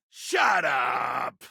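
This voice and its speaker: A man playing a pompous, belligerent sea captain in a video game, with a raspy voice and a theatrical, grandiloquent delivery. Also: a little high, pushed and bright, dry recording, studio quality, full character voice. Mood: ferocious. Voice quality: gravelly, raspy.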